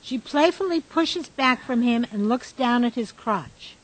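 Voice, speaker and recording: A woman's voice: a little high, animated, unhurried, poor audio. A woman reading scripted stage directions as the matter-of-fact narrator of a radio drama. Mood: descriptive.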